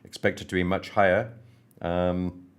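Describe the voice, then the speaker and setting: A hoarse man hosting a trading livestream, greeting viewers by name in a friendly, rambling way before going through market news. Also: low-pitched, little pitch movement, a little slow. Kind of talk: casual live-stream chat and market commentary.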